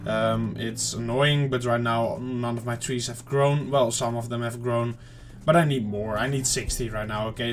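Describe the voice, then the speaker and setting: A man giving casual, rambling let's-play commentary to viewers, his throat slightly off and clearing it now and then. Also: medium pitch, slight background noise. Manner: rambling, chatty self-talk to viewers. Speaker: gaming channel host.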